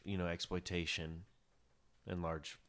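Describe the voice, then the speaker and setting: Deep-voiced male podcast host, relaxed and musing, on a close studio mic. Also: unhurried. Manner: laid-back, musing, trails off into low mutters. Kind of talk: casual podcast conversation.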